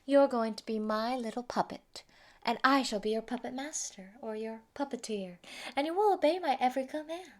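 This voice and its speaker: A woman's voice: fairly high pitch, normal speed, natural voice. A woman voicing a sadistic, gleeful female mad scientist who taunts and gloats over a captive in a theatrical roleplay monologue. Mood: scheming, commanding. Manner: taunting, gloating, giggly, swinging into whispers. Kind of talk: theatrical villain monologue, fan roleplay audio.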